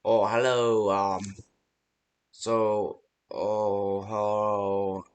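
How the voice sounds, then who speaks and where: A man's voice: lowish voice, flat delivery. A man playing a nervous, hesitant coworker who leaves stammered security tips by phone in an amateur horror game. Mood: hesitant, explaining. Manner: hesitant, halting, stammering delivery. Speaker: voice actor in fan-made game.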